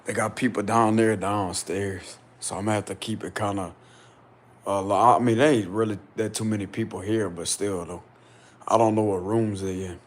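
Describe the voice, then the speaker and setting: A man vlogging casually and insistently from a small rented room, with a deep, loud voice. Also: normal speed. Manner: excited, insistent, slangy. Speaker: spiritual vlogger. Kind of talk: casual vlog to camera.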